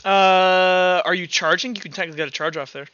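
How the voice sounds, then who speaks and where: A man's voice: sits high, fairly even intonation, a little fast, bright, forceful tone, smooth, no echo, dull audio. A man narrating a tabletop roleplaying game as game master, in a casual, informal way. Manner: casual, informal, sound-effect-laden descriptions. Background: music.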